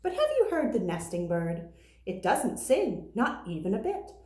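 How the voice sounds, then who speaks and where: A woman teacher reading a picture book aloud to young children in an expressive, deliberate way. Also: mid-range voice. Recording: roomy, echoing sound.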